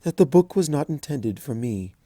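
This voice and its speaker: Celestia, a man voicing a gentle regal princess. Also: mid-range voice, no echo, voice pushed up, close to an everyday voice. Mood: tender. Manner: calm, slow, hushed and kindly. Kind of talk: fanfic audiobook reading.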